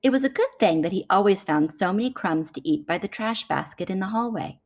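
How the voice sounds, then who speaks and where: A woman reading a children's picture book aloud in an animated storytelling manner. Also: medium pitch, a little fast.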